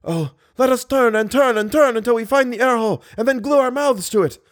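A man voicing a terrified grown man who is drowning and shouting desperately for help in a strained, high voice. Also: fairly quick, dry recording, studio quality.

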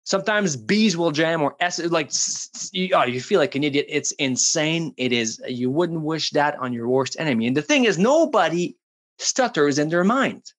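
A man from French Canada, whose first language is French, talking as a guest on an interview show in a jokey, animated way with some restarts. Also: fairly high pitch, normal speed. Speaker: guest, public speaking coach. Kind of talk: casual interview talk. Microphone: studio headphones and mic.

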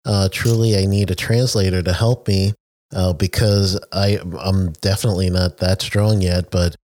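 A man's voice: sits low, steady tone, moderate pace, a little mellow, no room sound. A man from the United States interviewing a guest in a friendly, chatty way, laughing easily. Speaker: interviewer, safety trainer. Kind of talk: conversational interview.

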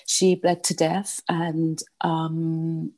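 A woman in her sixties from Nigeria with a well-spoken London English accent, telling her life story in an interview with halting restarts. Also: low-pitched, flat delivery, darkish tone. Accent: English, London middle class. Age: 60s. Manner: rambling, halting storyteller with many restarts and fillers. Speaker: guest. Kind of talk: casual interview, personal storytelling.

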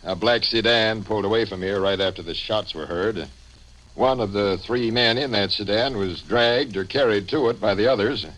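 A man playing a gruff, matter-of-fact police captain with a dry delivery in a 1950 radio drama. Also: fairly low pitch, moderate pace, a bit bright. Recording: noisy vintage radio recording.

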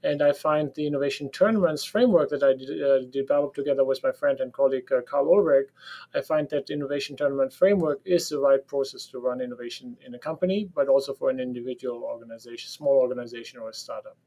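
A man's voice: mid-range voice, quick pace, warm tone, rough recording. A man with a German accent, a professor answering interview questions in a direct, opinionated way and laughing often. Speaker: professor of operations management. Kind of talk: podcast interview guest.

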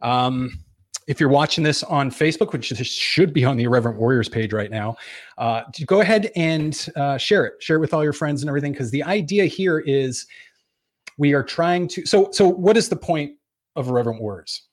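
A man's voice: on the high side, expressive, brisk, no echo. Dry-sounding joking male livestream host. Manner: joking, rambling, self-deprecating. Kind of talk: casual livestream chat. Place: small studio.

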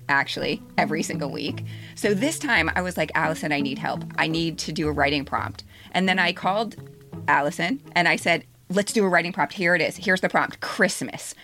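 A middle-aged woman who co-hosts a podcast, speaking in an emphatic, plain-spoken way as she chats and reads personal essays. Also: lowish voice. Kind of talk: casual chat and personal essay reading.

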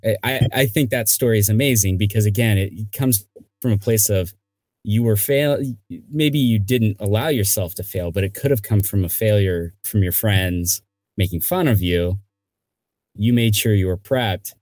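A man hosting a podcast interview, chatting in a relaxed, encouraging way. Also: fairly low pitch, normal speed, dry recording, soft background music. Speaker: podcast host. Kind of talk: conversational interview.

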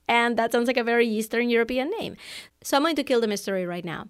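A woman's voice: on the high side, clear, resonant tone. Chatty Venezuelan-accented woman podcast host. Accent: Venezuelan Spanish. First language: Spanish. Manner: energetic and chatty, quick laughs. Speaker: podcast host and online business coach. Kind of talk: solo podcast monologue.

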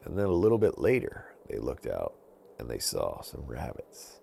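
A man telling a bedtime story to children in an animated storytelling style, as a podcast host. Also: rumbling low, moderate pace, vocal fry, slight background noise.

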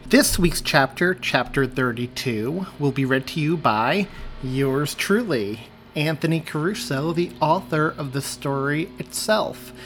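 A man's voice: on the high side, animated, unhurried, fairly bright tone, slight background noise. A man hosting a podcast, reading aloud a chapter of a story he wrote, with friendly, apologetic asides. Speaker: podcast host and author reading his story. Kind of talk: audiobook narration.

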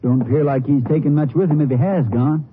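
An actor playing a folksy, plain-spoken Texas sheriff with a drawl, asking questions in a 1950s radio western. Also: male voice, on the high side, fairly quick, over music, close to an everyday voice. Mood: urgent, curious. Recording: muffled, noisy old radio recording.

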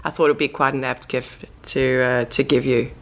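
A woman's voice: rumbling low, level tone, fairly quick. A woman with a South African accent, chatting informally as a guest in a casual podcast interview. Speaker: interview guest, marketing manager.

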